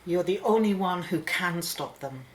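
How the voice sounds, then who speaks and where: A woman's voice: lowish voice, expressive, unhurried. A woman playing an urgent, determined woman scientist in a radio play, grave and wary. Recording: roomy, echoing studio sound.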